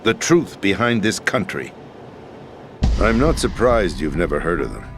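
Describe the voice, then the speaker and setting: An actor playing a disillusioned middle-aged American president in a video game, speaking in a grave, deliberate, theatrical way. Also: male voice, low-pitched, big pitch swings, exaggerated voice. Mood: intense, cynical. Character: disillusioned, bitter middle-aged US president, power-hungry and weary. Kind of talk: dramatic video game voice acting. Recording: music behind the voice.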